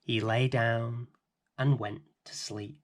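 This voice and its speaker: A middle-aged man hosting and reading a children's bedtime story in a gentle, expressive way. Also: medium pitch, slow pace. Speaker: storybook reader and host.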